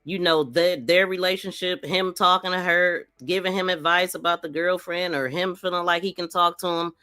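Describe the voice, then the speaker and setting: Woman, crime podcast host, bright forceful tone, dry close recording, casual skeptical commentary. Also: lowish voice, fairly even intonation, normal speed, dry recording, soft background music. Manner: skeptical, questioning.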